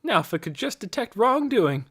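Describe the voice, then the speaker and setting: A man voicing a pious, intense older woman in an audiobook, deliberate and honeyed, sweetly controlling. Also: very high-pitched, sing-song, a bit bright, husky, voice pushed up, full character voice. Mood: pleased, chatty. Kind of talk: audiobook character voice.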